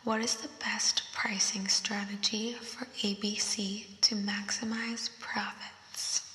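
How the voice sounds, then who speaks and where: A young woman doing ASMR, whispering softly as she reads quiz questions and thinks through them aloud, with a voice effect on her whisper. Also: medium pitch, level tone. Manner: soft unvoiced whisper, slow and thinking aloud. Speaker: ASMR creator. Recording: roomy echo with long reverb.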